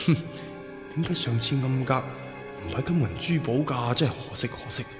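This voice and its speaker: An actor playing a cheeky, greedy, crafty young rascal, excitable and sly, in a Cantonese radio drama. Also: male voice, mid-range voice, fairly quick, music in the background, close to an everyday voice. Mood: disappointed.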